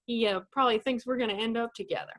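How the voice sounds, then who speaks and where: A woman playing a soft-hearted, blunt, overgrown kid of a man in a comedic radio play. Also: fairly high pitch, fast, pitched below the performer's own voice. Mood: tender, awkward.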